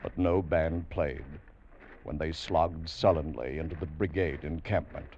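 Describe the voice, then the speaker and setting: A man narrating an old radio adventure drama in a measured, dramatic manner. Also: very deep voice, fairly even intonation. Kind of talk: scripted narration, 1940s radio. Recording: dull old radio broadcast with faint hiss.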